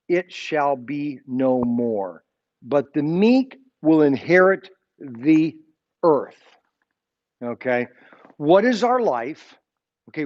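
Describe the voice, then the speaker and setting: A man's voice: fairly high pitch, a little mellow, highs cut off. A man in his sixties teaching an informal Sunday school Bible lesson, rambling and often checking in with his listeners. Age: 60s. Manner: rambling, keeps checking in with "okay?".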